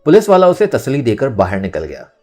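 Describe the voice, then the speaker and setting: A man narrating a novel in an expressive, dramatised storytelling style. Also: mid-range voice, brisk, faint music behind. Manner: expressive, acts out dialogue. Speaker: audiobook narrator.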